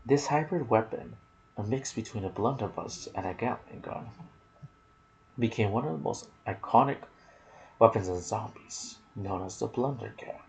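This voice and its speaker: A man narrating game lore in ASMR style, soft and breathy, often slipping toward a whisper, musing aloud in casual asides. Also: lowish voice, normal speed, slight background noise, poor audio. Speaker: gaming video narrator.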